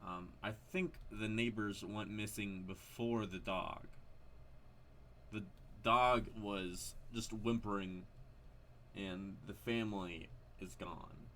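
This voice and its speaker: A man's voice: fairly low pitch, steady tone, slow, pushed and bright, slight background noise. A man giving casual, unscripted game commentary, hesitant and rambling as he thinks aloud. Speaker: video creator commenting on a horror game.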